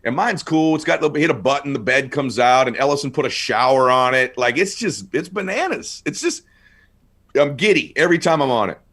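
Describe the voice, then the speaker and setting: A middle-aged man in casual conversation as a guest, telling stories with animated bursts of excitement. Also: slightly rough. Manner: animated, quick storyteller, rising to excited highs. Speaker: guest speaker.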